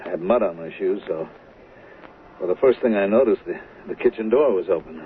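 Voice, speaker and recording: A muffled old radio broadcast with faint noise. An actor plays a low-voiced husband suspected of murder in a 1970s soap. He is halting, evasive and hesitant. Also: lightly acted. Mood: halting, subdued. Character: evasive working-class husband suspected of murdering his wife.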